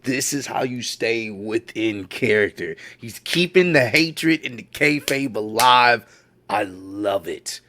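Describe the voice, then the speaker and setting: A man's voice: medium pitch, expressive, slow pace, sharp tone. A man giving casual reaction commentary on wrestling news, laid-back and admiring, often repeating himself.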